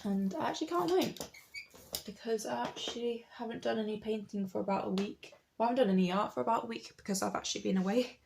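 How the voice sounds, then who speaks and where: A woman in her late twenties, croaky from a cold, chatting in a rambling, self-correcting way as she talks through her printmaking. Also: mid-range voice, fast, darkish tone, a little hiss or hum. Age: late 20s. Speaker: artist and printmaker. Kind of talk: casual chat over studio work.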